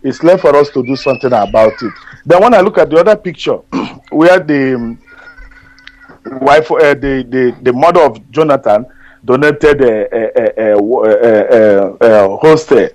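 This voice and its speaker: A middle-aged Nigerian man whose first language is Igbo, calling in to a radio show and speaking haltingly with many fillers and repeated words. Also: medium pitch, darkish tone, rough recording. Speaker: radio caller. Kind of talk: call-in radio talk. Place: Germany.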